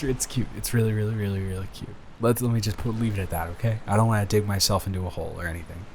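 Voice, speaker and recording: A man acting as a devoted young boyfriend with a lowish voice, nervous and sweet on his partner. He rambles and stumbles bashfully through an intimate, improvised roleplay, with noticeable ambient noise behind him. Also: darkish tone. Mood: amused, affectionate. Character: nervous, adoring young boyfriend about to propose.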